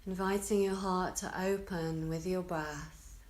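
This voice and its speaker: Very slow-speaking woman guiding meditation. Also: on the low side, steady tone, darkish tone. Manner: soothing, unhurried, spoken in short phrases with long pauses. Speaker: yoga nidra teacher. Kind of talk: guided relaxation meditation.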